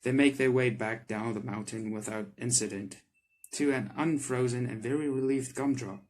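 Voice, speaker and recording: A man's voice: mid-range voice, moderate pace. A man reading a visual novel aloud as a gaming creator, with casual reactions between narrated passages. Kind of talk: reading game text aloud with character voices and casual reactions.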